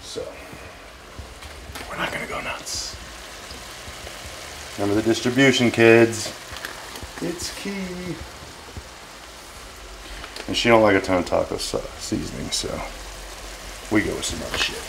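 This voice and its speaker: A man's voice: fairly low pitch, sing-song, measured pace, vocal fry, pauses often, background noise. A man in his forties hosting a cooking vlog in his home kitchen, brash, joking and profane. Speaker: cooking show host. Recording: sizzling frying pan behind.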